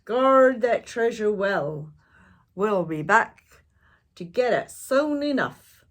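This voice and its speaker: A woman voicing a gruff male pirate captain from a children's storybook, in a bellowing, emphatic delivery. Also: medium pitch, expressive, slow, pitched above the performer's own voice. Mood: hearty.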